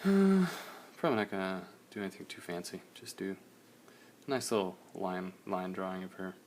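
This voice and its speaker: A young man, slightly hoarse, chatting casually in a relaxed, rambling way while he streams a drawing session. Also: low voice, normal speed, slight background noise. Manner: relaxed, rambling, many fillers.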